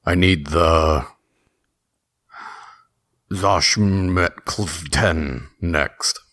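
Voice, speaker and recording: A man's voice: low-pitched, drawn-out words, fairly bright tone, no echo, heavily acted. A man playing a brusque, terse prison guard giving commands in a sci-fi audio drama. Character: brusque, lumbering prison guard calling detainees.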